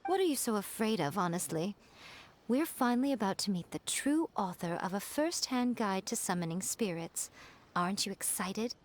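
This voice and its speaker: A woman's voice: medium pitch, animated, natural voice. A woman playing a sly, self-assured shrine maiden in a video game, poised and teasing in manner. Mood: amused, teasing. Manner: teasing, poised, unhurried explaining. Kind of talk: video game character acting.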